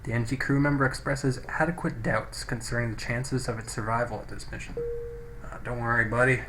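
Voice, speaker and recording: A man's voice: medium pitch, fairly even intonation, a little fast. A man doing casual game commentary, thinking aloud with some hesitation and reading on-screen text out, recorded on a poor, noisy microphone. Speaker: gameplay video host. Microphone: low-quality microphone.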